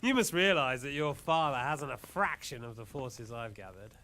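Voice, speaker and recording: An actor playing a smug, cruel warlord who taunts his captive in a theatrical, mock-polite, deliberate way, as in a video game cutscene. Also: medium pitch, lively intonation, bright, forceful tone. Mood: gloating, menacing.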